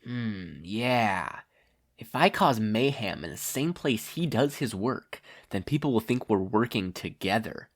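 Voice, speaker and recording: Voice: medium pitch, animated, measured pace. A reader voicing a petulant, tantrum-prone young male villain with a whiny, exasperated manner in a story. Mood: brooding.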